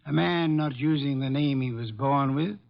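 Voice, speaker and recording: An actor in an old-time radio drama playing a brilliant, stubborn detective, speaking with deliberate, precise authority in a gravelly voice. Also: male voice, medium pitch, close to an everyday voice. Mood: exacting, patient. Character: brilliant, commanding middle-aged male master detective, exacting and stubborn. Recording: dull, lo-fi old radio recording.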